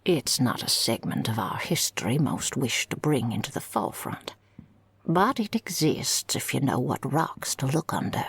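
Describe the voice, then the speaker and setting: A woman playing a kindly older female librarian in an audio roleplay, with a husky, creaky voice and gently formal, folksy manner. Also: very deep voice, big pitch swings, normal speed, heavily acted. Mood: helpful, gentle. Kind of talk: scripted audio roleplay acting.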